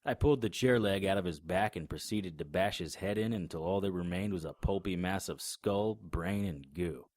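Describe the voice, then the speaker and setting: A man narrating a radio drama in the first person with a deep, low voice. He tells it in a wry, matter-of-fact way, recorded dry and close to the mic. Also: normal speed, few pauses, darkish tone, no room sound. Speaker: narrator and lead actor.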